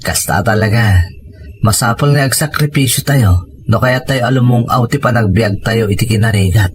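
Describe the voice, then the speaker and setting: A man's voice: lowish voice, moderate pace, natural voice. A man with an Ilocano Filipino accent playing an earnest, reassuring young husband in an audio drama. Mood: earnest, persuasive. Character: earnest young husband leaving to work abroad. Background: background music.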